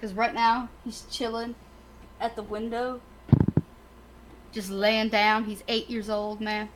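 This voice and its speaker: A woman's voice: fairly high pitch, little pitch movement, slow pace, background noise. A woman co-hosting a casual podcast, speaking bluntly and swearing a lot.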